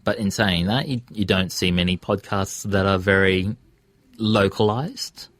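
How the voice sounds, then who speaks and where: A man's voice: deep voice, measured pace. An Australian man speaking casually as an interview guest, earnest and thinking aloud as he gives his views. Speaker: interview guest, community radio presenter and podcaster.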